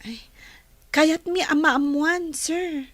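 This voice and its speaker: A woman playing a curious, outspoken young working student in a radio drama, speaking in an expressive, questioning way. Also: high voice, slow, no room sound. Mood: grateful.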